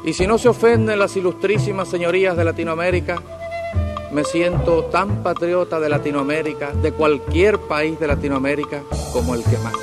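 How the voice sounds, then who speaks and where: Man, higher voice, emphatic archive speech, music behind. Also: fairly even intonation, normal speed. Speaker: speaker at a political assembly. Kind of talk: formal speech to delegates.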